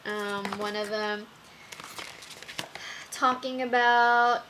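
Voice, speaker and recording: A young woman reviewing makeup brushes in a casual vlog, talking slowly with many ums while her voice wears out. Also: on the high side, steady tone, noisy background. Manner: slow, with many ums and fillers. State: losing her voice. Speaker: beauty vlogger.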